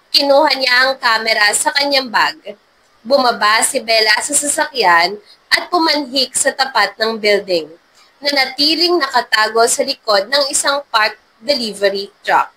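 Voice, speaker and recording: A woman's voice: high-pitched, pushed and bright, slight background noise, low-quality recording. A woman reading a scripted dramatic story as storyteller.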